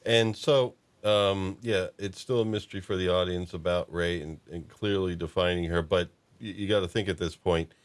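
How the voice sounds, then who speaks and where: A man hosting a casual chat, talking haltingly and circling back mid-thought. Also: sits low, fairly even intonation. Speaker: podcast host. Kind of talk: casual chat about fan fiction and films.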